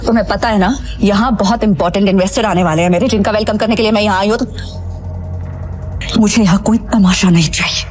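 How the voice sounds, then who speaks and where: Casting: female actor as arrogant wealthy wife, medium pitch, cutting disdain, drama with faint music behind. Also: fast, darkish tone, natural voice. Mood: angry scorn. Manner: cutting, contemptuous, some English mixed in. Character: haughty, scornful rich young wife and businesswoman. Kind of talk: Hindi audio drama acting.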